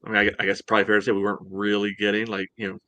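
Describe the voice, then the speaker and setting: A man's voice: lowish voice, completely flat delivery, very fast, pushed and bright, dry recording. A man co-hosting a sports podcast, chatting casually in a rambling way, peppered with "you know". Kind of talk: casual soccer match discussion.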